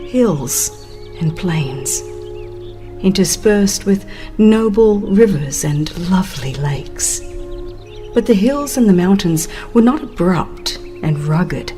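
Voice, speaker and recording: Slow, lowish-voiced woman narrating solemnly. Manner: solemn, measured, expressive reading. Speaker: audiobook narrator. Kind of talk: dramatized scripted narration of a religious book.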